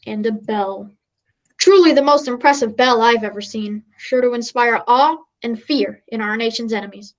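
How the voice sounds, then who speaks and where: A woman's voice: fairly high pitch, moderate pace, slight background noise, lightly acted, low-quality recording. A woman playing an earnest, grandiose radio news reporter in a mock radio play, declaiming dramatically. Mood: awestruck.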